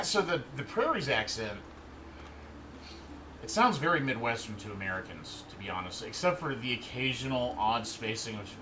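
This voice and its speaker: A man in his thirties hosting a livestream in a relaxed, rambling way, chatting and reading out viewer comments. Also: lowish voice. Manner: relaxed, rambling, answers chat as he goes. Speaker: livestream host. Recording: steady background noise.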